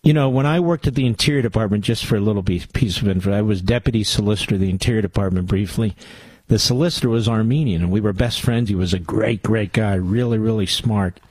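A man's voice: on the low side, quick pace, darkish tone, slightly rough, no room sound, low-quality recording. A man with an American accent hosting a political call-in radio show, speaking in an emphatic, blunt manner. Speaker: radio talk show host.

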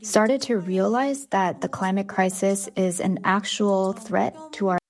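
Female interpreter, medium pitch, relaying a guest's interview answers in the first person. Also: steady tone, unhurried.

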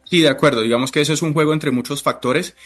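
A man speaking Spanish, giving halting, deliberate conversational answers as a chef being interviewed. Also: a little high, a bit bright, faint music behind. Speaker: interview guest, restaurant chef.

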